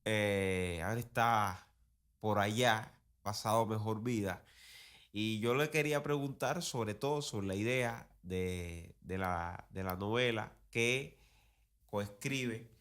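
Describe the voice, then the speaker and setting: A man's voice: fairly low pitch, fairly bright tone. A man with a Cuban accent giving conversational, digressive and emphatic commentary as a soap opera commentator. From Cuba. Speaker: commentator on radio and television soaps.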